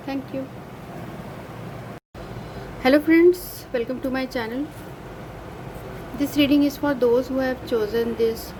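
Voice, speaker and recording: A woman with an Indian English accent, whose first language is Hindi, reads cards in a casual, halting way, pausing often to search for words. Her voice sits fairly high and her pace is slow. The recording carries clear background noise. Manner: hesitant, many uh fillers and self-corrections. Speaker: card reader. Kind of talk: casual card reading to camera.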